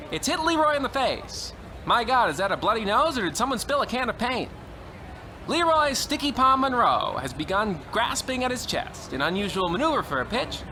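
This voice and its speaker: A man playing an excitable, melodramatic baseball radio announcer with a theatrical, exclamatory delivery in an old-time radio comedy. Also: sits high, slight background noise, exaggerated voice. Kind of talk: old-time radio sports commentary parody.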